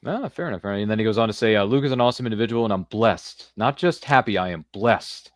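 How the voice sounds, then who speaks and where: A man's voice: on the low side, lively intonation, fast, no echo, studio quality. A man hosting a casual chat show, talking in an informal, buddy-like way. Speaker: podcast host. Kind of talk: casual interview chat, reading out viewer comments.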